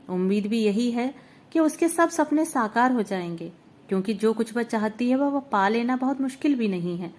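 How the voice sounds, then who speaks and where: A woman with a fairly high voice reads a literary short story aloud. Her delivery is measured and even, with reflective pauses between phrases.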